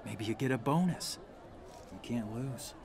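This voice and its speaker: A man's voice: medium pitch, measured pace, a little mellow, slight background noise, lightly acted. A man playing a confident, studious young warrior monk in a video game, blunt and a bit cocky. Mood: persuasive.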